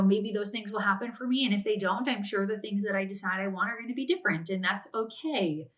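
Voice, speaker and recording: A woman's voice: mid-range voice, a bit bright. A woman in her late twenties hosting a podcast, giving casual advice in a chatty way, often using "like" and "if that makes sense". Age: 20s. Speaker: podcast host.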